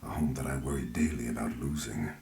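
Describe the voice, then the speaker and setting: A brooding, guarded man speaks in an extremely low, gravel-edged voice. His delivery is grave and formal, as in a dramatic fantasy game, with a roomy echo around it. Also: brisk, warm tone, a little hiss or hum. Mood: brooding, confiding. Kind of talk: dramatic video game voice acting.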